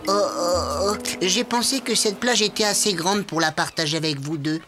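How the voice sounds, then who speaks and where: Wry cartoon animal doctor. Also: male voice, high voice, normal speed, pushed and bright, soft background music. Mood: sly. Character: grumbling, wry adult male animal doctor. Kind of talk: French children's cartoon dubbing.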